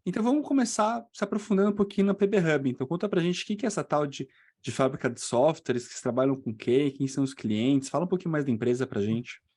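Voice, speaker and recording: A man's voice: fairly high pitch, expressive, fast, few pauses, dark tone. A man with a Brazilian Portuguese accent presenting a podcast in a conversational style. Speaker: podcast host, orthopedic doctor.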